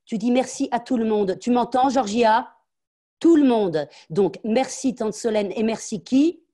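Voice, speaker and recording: A woman reading aloud and voicing a strict, angry mother who scolds her little daughter in a sharp, insistent tone. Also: on the high side, brisk, pitched above the performer's own voice, close to an everyday voice. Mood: demanding.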